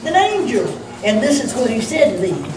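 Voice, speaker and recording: A woman's voice: fairly high pitch, wildly swooping pitch, normal speed, darkish tone. A woman doing a chatty girl puppet telling a tale. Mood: wondering. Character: chatty village girl puppet, eyewitness storyteller. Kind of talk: amateur church puppet show. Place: large echoey church sanctuary. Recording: distant echoey church recording with noise.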